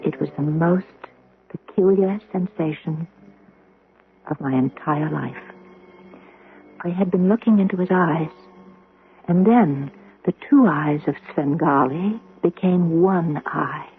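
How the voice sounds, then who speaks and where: An actress playing a gentle, humble young model in a radio drama, hesitant and wistful. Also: female voice, deep voice. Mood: dreamy, entranced. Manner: hesitant, apologetic, breathy pauses. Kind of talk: radio drama acting and first-person narration. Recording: noisy, narrow-band old radio recording.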